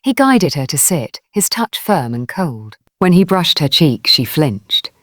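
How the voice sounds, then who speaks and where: A woman's voice: low-pitched, big pitch swings, measured pace, a bit bright, no room sound, studio quality. A woman telling a folktale in dramatic scripted narration. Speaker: storyteller.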